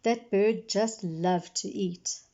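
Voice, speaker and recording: A woman storyteller reading aloud to young children in expressive narration, explaining things simply as she goes. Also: mid-range voice, slow, lo-fi sound.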